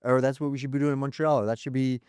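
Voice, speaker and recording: A man co-hosts a podcast in easy, casual conversation, padding his sentences with "like" and "you know." His voice sits in an ordinary middle pitch. The recording is dry and close, with no room echo. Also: fairly even intonation, racing, darkish tone, clean tone. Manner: rambling, many fillers like "you know" and "like". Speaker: podcast co-host. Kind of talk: casual chat.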